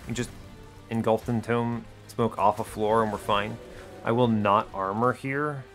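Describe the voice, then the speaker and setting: A man's voice: lowish voice, fairly even intonation, unhurried, fairly bright tone. Breezy man commentating a game over music. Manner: breezy, chatty, wry understatement. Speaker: host of an educational gaming video series. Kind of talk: casual gameplay commentary, thinking aloud.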